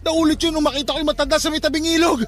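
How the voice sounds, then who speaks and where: High-voiced, stubborn, haunted young man. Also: level tone, very fast, fairly bright tone, soft background music. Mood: panicked. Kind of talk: radio drama acting.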